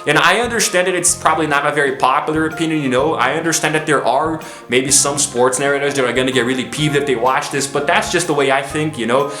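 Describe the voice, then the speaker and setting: Man, casual vlog to camera, low-energy, keeps saying you know, echoey room. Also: fast, few pauses, bright, forceful tone, soft background music. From Brazil. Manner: chatty, says "you know" a lot. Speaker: vlogger. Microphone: camera mic, filming self.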